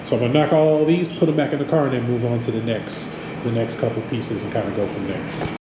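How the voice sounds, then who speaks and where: Male host with a head cold, casual how-to explainer, roomy echo, steady fan hum behind. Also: mid-range voice, quick pace. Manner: relaxed, many ums and restarts. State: stuffy from a cold. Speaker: auto mechanic channel host. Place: home garage workspace. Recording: echoey room with fan noise.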